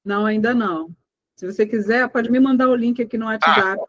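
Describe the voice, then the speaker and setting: A woman hosting a live video call steps in with short, helpful remarks. Her voice is very high. The audio is dull and completely dry, as if heard through a call. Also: a little fast, dry recording. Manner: brief, helpful interjections. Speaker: host. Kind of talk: casual moderating on a video call.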